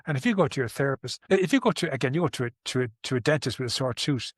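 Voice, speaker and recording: A man's voice: medium pitch, lively intonation, very fast, breathy edge, no echo, clean studio sound. A man in his sixties with an Irish accent, a therapist explaining his approach in conversation, earnest and often restarting his words. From Ireland. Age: 60s. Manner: earnest, repeats and restarts words, gives everyday examples.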